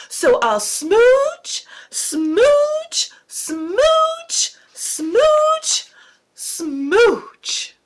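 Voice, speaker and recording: A woman's voice: a bit bright, exaggerated voice. Woman doing a glum sing-song Mister Fish. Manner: sing-song chanted refrain, dragging low blubs. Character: gloomy male fish, glum and mopey.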